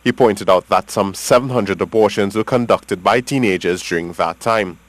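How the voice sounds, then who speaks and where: Male reporter, Trinidadian, lowish voice, scripted news narration, dry booth sound. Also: normal speed, dry recording.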